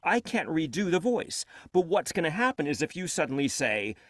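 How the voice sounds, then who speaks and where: A man's voice: fairly high pitch, moderate pace, no room sound. A man who narrates audiobooks, talking expressively on a video call from a home studio with acoustic foam, with a headset. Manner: expressive, gestures a lot. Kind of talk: animated panel talk. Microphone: earbud headset on video call.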